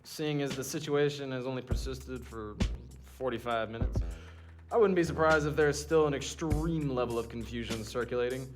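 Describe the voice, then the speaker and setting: A man's voice: mid-range voice, normal speed, music in the background, natural voice. A man playing an impatient, coolly arrogant genius doctor who speaks with dry, pompous precision in an audio drama.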